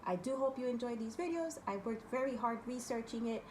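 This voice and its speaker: A woman with a New York accent hosting a casual spiritual talk as a tarot reader, chatty and often checking in with her viewers, her voice hoarse from overuse. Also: fairly high pitch, lively intonation, brisk, darkish tone, a little hiss or hum. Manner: chatty, keeps asking okay guys. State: losing her voice. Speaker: tarot reader and video host.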